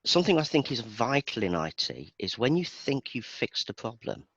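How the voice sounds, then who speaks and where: A man's voice: mid-range voice, very animated, normal speed, a bit bright, husky, no room sound, lo-fi sound. A man from Birmingham, England with a Birmingham accent, chatting casually as an interview guest in a self-deprecating, talkative way. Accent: Birmingham English. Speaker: interview guest, database performance expert.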